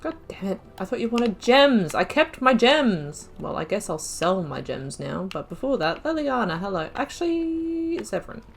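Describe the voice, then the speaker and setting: A woman's voice: medium pitch, big pitch swings, normal speed, gravelly, hardly any pauses, a little hiss or hum. A woman giving casual, chatty commentary on her video game playthrough, giggling and muttering asides. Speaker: gaming YouTuber. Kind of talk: casual let's-play commentary.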